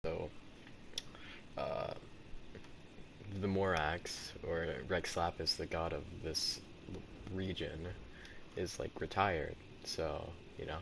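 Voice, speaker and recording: A man's voice: low-pitched, steady tone, takes it slowly, gravelly, pauses often, background noise. A man giving casual, rambling, offhand commentary as he streams a video game. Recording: loud game music behind.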